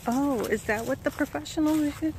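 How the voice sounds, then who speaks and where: Woman vlogger, casual, slightly high voice, filmed outdoors on a handheld camera with clear background noise. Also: moderate pace. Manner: chatty, calls out to her dog. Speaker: vlogger walking her dog. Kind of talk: casual chat while walking. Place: forest trail outdoors. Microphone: handheld camera mic. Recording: outdoor wind and forest noise.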